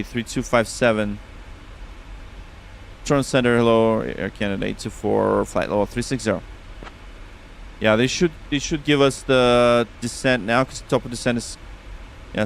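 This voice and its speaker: A man's voice: lowish voice, a little hiss or hum. A man with a Russian accent streaming a flight sim, chatting casually with viewers and reading back radio calls on a headset.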